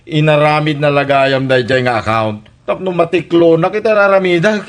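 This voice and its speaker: A man's voice: a little high, moderate pace, lightly acted. A man with an Ilocano Filipino accent playing a sheepish, pleading young womanizer in a radio comedy drama. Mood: dejected, regretful. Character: sheepish, pleading womanizer dumped by his girlfriend.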